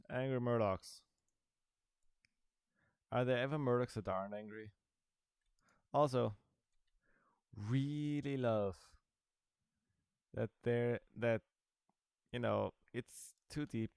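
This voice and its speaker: A man's voice: mid-range voice, slow, creaky, long pauses, a little mellow, smooth, no echo. A man giving casual, rambling commentary over a game he is playing, with dry, sarcastic asides. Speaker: gaming video creator.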